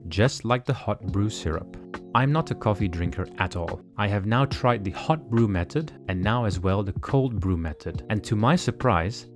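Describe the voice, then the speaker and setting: A man giving measured, precise step-by-step narration for a home cooking tutorial. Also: fairly low pitch. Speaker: cooking channel host. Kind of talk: calm instructional cooking voice-over. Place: home kitchen.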